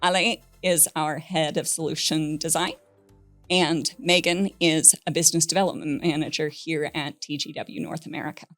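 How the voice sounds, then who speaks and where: Woman podcast host, kinda low voice, relaxed chatty, dry close mic. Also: moderate pace, no room sound, faint music behind. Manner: relaxed, conversational, a bit anecdotal. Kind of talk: hosted podcast interview.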